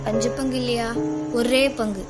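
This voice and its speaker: A woman's voice: high voice, brisk, lightly acted. A woman playing a bitter, scheming thief's wife. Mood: coaxing. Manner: pleading then coldly persuasive. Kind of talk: Tamil film dialogue. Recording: film audio with music behind.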